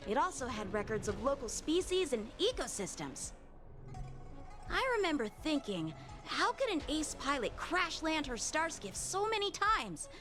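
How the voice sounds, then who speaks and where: An actress voicing a lively, candid young woman guide in a video game, speaking in a casual, expressive way. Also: high voice. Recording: game music behind.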